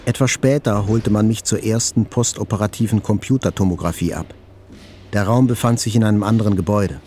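Actor as mellow, composed male narrator. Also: on the low side, brisk, natural voice. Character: composed male patient recounting his past. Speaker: first-person narrator. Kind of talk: literary audio drama narration. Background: faint music.

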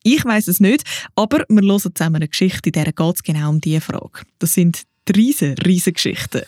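A woman's voice: lowish voice, animated, brisk, darkish tone, no room sound. A woman presenter introducing a story to young listeners. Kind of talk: introduction for a children's story programme.

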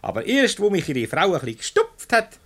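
An actor with a Swiss German accent playing a brisk, self-assured company director in a radio comedy. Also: male voice, high-pitched, wildly swooping pitch, a bit bright, exaggerated voice. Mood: jovial, assured. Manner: assured, jovial, authoritative. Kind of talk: comedic radio play acting.

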